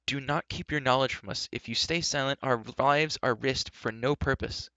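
A man's voice: medium pitch, normal speed, pushed and bright, no echo, dull audio, pitched above the performer's own voice. A man voicing an earnest young adventurer in a storybook reading, pleading and insistent. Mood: pleading, urgent. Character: earnest, urgent young male adventurer hero. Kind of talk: children's storybook character reading.

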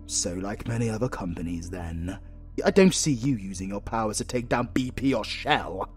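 A man's voice: fairly low pitch, lively intonation, faint music behind, natural voice. A British man playing an arrogant, flirtatious supervillain in a romantic comedy audio roleplay, theatrical and easily exasperated. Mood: weary, wry. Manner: theatrical, swinging from slow menace to exasperated outbursts. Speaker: voice actor.

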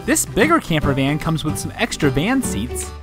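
A woman's voice: low voice, very animated, moderate pace, music in the background. A woman narrating a toy unboxing for young children as the presenter.

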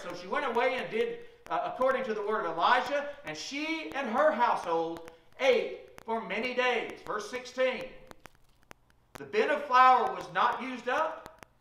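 A man's voice: high-pitched, sharp tone. A middle-aged man preaching a sermon at a pulpit in a small church, retelling Bible stories. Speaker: pastor. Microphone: room mic from a far camera. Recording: echoey church from far off.

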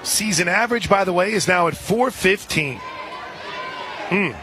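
A man doing live radio play-by-play of a softball game in a conversational way, with folksy asides. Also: high voice, expressive, a little slow. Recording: crowd noise and music behind. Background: ballpark crowd and music.

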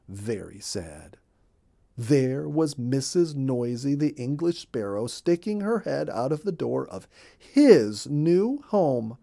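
A man's voice: medium pitch, vocal fry, warm tone. A man in his fifties reading a children's story aloud as narrator. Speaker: storybook reader. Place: small room indoors.

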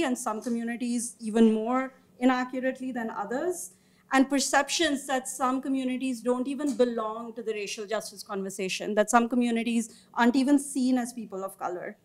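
A woman in her thirties giving a formal panel presentation as a researcher, with informal asides and hesitant pauses mid-sentence. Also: high voice. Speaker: researcher presenting report findings. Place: indoor meeting room or auditorium, seated at a panel table. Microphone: gooseneck table microphone.

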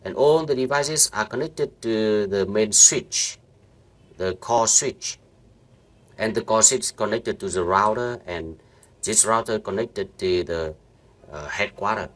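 Slow, low-voiced man with halting delivery. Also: fairly bright tone. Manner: halting, many fillers and restarts. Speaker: networking instructor. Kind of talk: screen-recorded software walkthrough.

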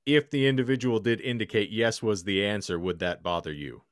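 A man with a low voice hosts a casual podcast discussion from home. He talks in long, formal phrasing with dry humor, close on the mic in a dry room. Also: a little fast, no room sound. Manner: wordy, formal vocabulary with dry joking. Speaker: podcast host. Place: home room, remote video call. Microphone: close microphone.

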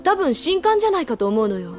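A woman's voice: high voice, sing-song, fast talker, soft background music. A woman playing an easygoing, considerate older schoolgirl in an anime audio drama. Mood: relaxed, explanatory.

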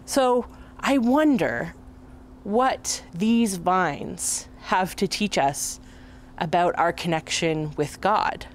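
Young woman, outdoor garden, gentle children's lesson, slow pace, wondering tone. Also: fairly low pitch, a little hiss or hum. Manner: wondering, thoughtful, explains simply. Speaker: children's time leader. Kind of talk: gentle teaching talk.

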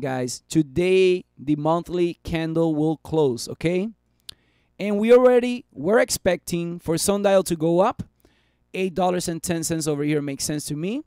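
30s guy doing a live stock stream, kinda high voice, chatty, voice a bit worn out, dry close mic. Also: dark tone, no echo. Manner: upbeat, chatty, reads viewer comments aloud. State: losing his voice. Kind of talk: live stream chart commentary and chat replies. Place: small room at a desk.

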